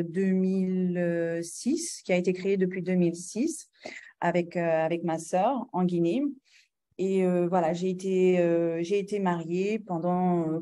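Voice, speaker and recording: A middle-aged woman from Guinea speaks as a guest in an interview. Her voice is lowish and mellow. She talks slowly and hesitantly, with many fillers and restarts, and often lets out a light laugh. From Guinea, of Lebanese descent. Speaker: guest, mother of two. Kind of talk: conversational interview.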